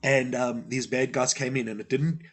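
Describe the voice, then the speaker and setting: A man's voice: mid-range voice, steady tone, moderate pace, slight background noise. A man, hosting a casual interview and asking questions in an earnest way. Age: 40s. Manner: earnest, with frequent short agreeing replies. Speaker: interviewer, host.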